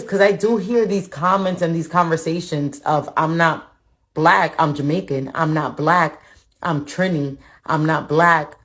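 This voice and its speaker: A woman of Dominican background talking casually to her followers, often asking them "right?". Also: fairly low pitch. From Dominican Republic. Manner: chatty, keeps asking the audience "right?". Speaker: content creator. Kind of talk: casual social media talk.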